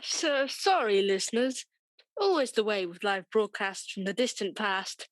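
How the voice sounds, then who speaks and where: A woman's voice: medium pitch, animated, unhurried, dry recording, natural voice. A woman playing a poised, mock-dramatic co-host in a scripted comedy history radio programme. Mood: apologetic.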